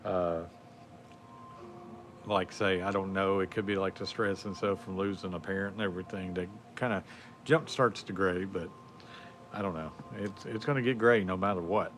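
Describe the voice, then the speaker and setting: A man's voice: low voice, fairly even intonation, normal speed, fairly bright tone, a little hiss or hum. A man, chatting casually on a livestream in a rambling, laid-back way with lots of fillers. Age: 40s. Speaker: livestream host. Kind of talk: casual chat.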